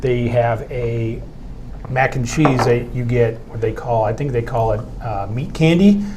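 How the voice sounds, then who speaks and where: A man's voice: mid-range voice, background noise. Upbeat man recommending craft beers. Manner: upbeat, quick recommendations, filler ums. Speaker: beer review co-host. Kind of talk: casual tasting chat. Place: office room with a TV screen, indoors.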